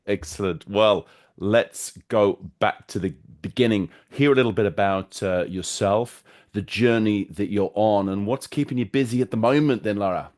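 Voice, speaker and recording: Lower-pitched male podcast host, friendly, chatty, quick follow-up questions. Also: a little mellow. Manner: affable, quick-talking, brief encouraging interjections. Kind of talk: podcast interview.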